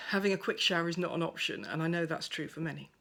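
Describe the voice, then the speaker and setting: A woman giving a gentle, thoughtful monologue at home by a window, her voice soft and at times close to a whisper. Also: on the low side, fast, vocal fry. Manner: soft-spoken, thoughtful, drifts into near-whispers. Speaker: pagan speaker sharing reflections. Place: at home by a window over a garden.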